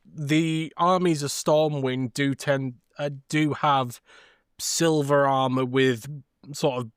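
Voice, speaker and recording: A man co-hosting a podcast, explaining things in a measured, casual way with hesitant starts. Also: mid-range voice, fairly even intonation, smooth, no room sound, studio quality. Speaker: podcast co-host. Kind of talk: casual chat.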